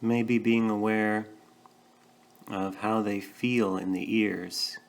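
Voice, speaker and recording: A man leading a guided meditation, speaking gently and in a measured way with pauses between short phrases. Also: on the low side, fairly even intonation, vocal fry. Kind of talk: guided meditation, calm instruction.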